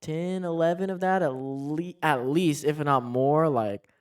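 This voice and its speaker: A man's voice: on the high side, a little slow, clean tone, recorded from a distance. A man in his thirties chatting casually as a podcast co-host, musing with frequent restarts. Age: 30s. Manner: musing, talks in starts and restarts. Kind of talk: casual chat.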